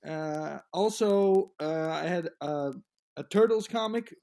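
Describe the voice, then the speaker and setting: Mellow, halting European man. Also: a little high. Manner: halting, many restarts and fillers, laughs easily. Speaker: guest comic creator. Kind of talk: casual interview chat.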